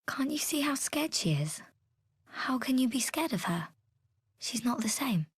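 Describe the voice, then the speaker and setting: A woman playing a protective young villager in a video game, soft, soothing and earnest. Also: high voice, very animated, unhurried, slightly rough, no echo, clean studio sound, heavily acted. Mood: gentle, protective. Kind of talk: dramatic game acting.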